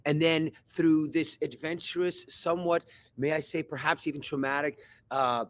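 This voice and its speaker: A man from Switzerland, a podcast guest who is an actor and coach, telling a personal story in a thoughtful, halting way with many repeated words. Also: on the high side, fairly even intonation, a bit bright. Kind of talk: reflective personal story in conversation.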